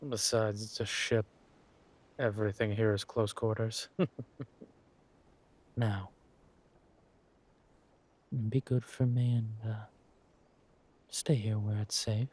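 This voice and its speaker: Man acting as menacing villain king, low-pitched, husky, teasing courtly drawl, roleplay audio drama. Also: flat delivery, slow pace, long pauses, a little mellow. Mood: tender, protective. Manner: smooth-talking, drawling, teasing, theatrically courteous. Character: suave, menacing villain king, former criminal, doting on his lover.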